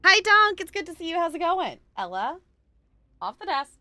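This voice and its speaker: Chatty, bright-voiced woman streamer. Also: very high-pitched, big pitch swings, normal speed, soft background music. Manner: chatty and rambling, laughs easily, talks to chat. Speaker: game streamer. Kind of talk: casual live-stream chat while gaming.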